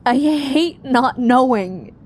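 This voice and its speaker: A woman's voice: high-pitched, animated, slow, a little mellow. A woman acting in an audio drama as a guilt-ridden young woman searching for her missing sister. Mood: pained, regretful.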